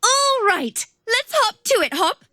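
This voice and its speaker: A woman's voice: extremely high pitch, big pitch swings, takes it slowly, bright, forceful tone, exaggerated voice. A woman voicing a peppy, friendly young female professor for a video game, with a cheerful, teasing manner. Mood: bright, cheerful. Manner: cheerful, exclaiming.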